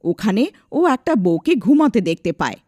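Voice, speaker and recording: A woman narrating a scripted animated folk tale as its storyteller. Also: medium pitch, normal speed, no room sound, studio quality.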